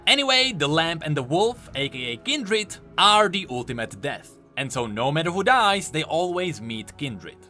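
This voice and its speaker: A man's voice: on the high side, big pitch swings, moderate pace, few pauses, bright, forceful tone, soft background music. A man giving enthusiastic, explanatory commentary on video game lore, leaning hard on key words for emphasis.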